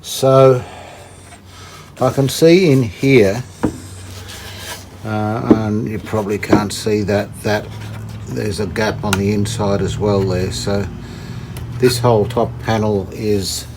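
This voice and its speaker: A man giving casual hands-on commentary as a hobby restorer, thinking aloud in a tentative, halting way. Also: on the low side, fairly even intonation. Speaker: host, hobbyist radio restorer. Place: indoors, hobby workshop. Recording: clear background noise.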